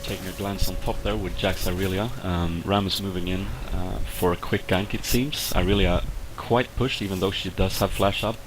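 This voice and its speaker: A man's voice: deep voice, steady tone, moderate pace, hardly any pauses. A young Swedish man, not a native English speaker, doing casual video game commentary with a Swedish accent, hesitant and full of fillers. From Sweden. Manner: hesitant, lots of fillers and restarts. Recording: clear background noise with clicks.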